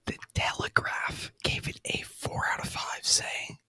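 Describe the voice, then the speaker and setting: A man's voice: a little slow, pushed and bright, no room sound. Whispering man, close and dry. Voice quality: breathy unvoiced whisper. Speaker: ASMR video host. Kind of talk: whispered ASMR movie review. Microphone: close microphone.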